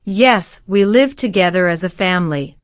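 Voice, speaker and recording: A woman's voice: on the low side, lively intonation, no echo. Polite woman from Syria, dry sound. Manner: polite, formal, measured replies. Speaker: citizenship applicant. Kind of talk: scripted interview answers.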